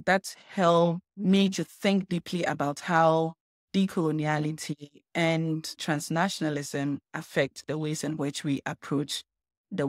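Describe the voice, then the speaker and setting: A woman from Ghana, a scholar answering interview questions in a measured, explanatory way. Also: sits low, dry recording, clean studio sound. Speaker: guest scholar.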